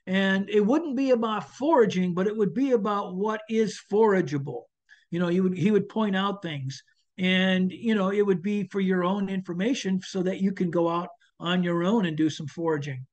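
A man's voice: sits high, normal speed, darkish tone. Older man, hesitant, dull video-call sound. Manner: hesitant, many restarts and fillers, friendly advice-giving. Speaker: chef and foraging instructor. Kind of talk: answering audience questions in a webinar. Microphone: video call webcam.

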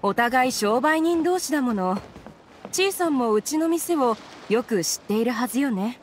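A woman's voice: on the high side, animated, moderate pace, a little hiss or hum, lightly acted. A woman playing a courteous, obliging female antique merchant in a video game, speaking politely and reassuringly. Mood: businesslike, friendly. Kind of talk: Japanese video game voice acting. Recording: game music behind the voice.

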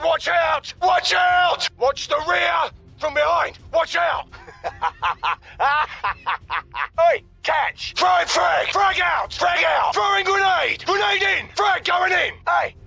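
An actor voicing a tough, sardonic middle-aged English gunman in a video game, with a rough, gravelly voice, blunt and slangy in short combat lines. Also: very high-pitched, lively intonation, slow pace, sharp tone, shouting, lo-fi sound, full character voice. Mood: urgent shouting. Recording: game audio over faint music.

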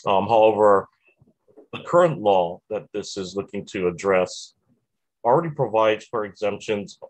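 A man's voice: lowish voice, normal speed, dry recording, rough recording. A man in his thirties testifying politely over a video call for a farm group. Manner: polite, steady, lightly repetitive. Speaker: farm lobby representative testifying. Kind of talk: formal testimony.

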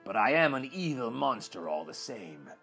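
Man as sad monster, husky voice, gentle, grave, pleading, lovesick, fairytale storybook. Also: medium pitch, very animated, normal speed, soft background music, pitched below the performer's own voice, heavily acted. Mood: bitter, resigned. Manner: grave, pleading, sighing delivery. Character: gentle, sorrowful male beast in love, self-loathing monster.

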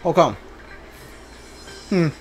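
A man's voice: drawn-out words, slight background noise. A man giving casual video game playthrough commentary, mumbling his thoughts aloud as he plays. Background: game music.

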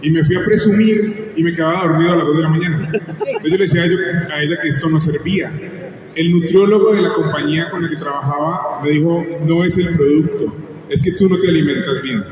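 A man from Cali telling a lively testimonial anecdote. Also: fairly high pitch, fast, a crowd in the background. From Cali, Colombia. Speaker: audience member giving a testimonial. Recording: echoey hall from far off, noise.

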